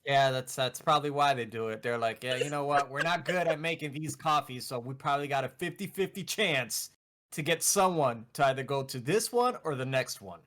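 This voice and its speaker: A man's voice: medium pitch, fairly quick, a bit bright. A man in his thirties chatting casually as a co-host, playfully exasperated and acting out imagined quotes. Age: 30s. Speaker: video game show co-host. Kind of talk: casual chat.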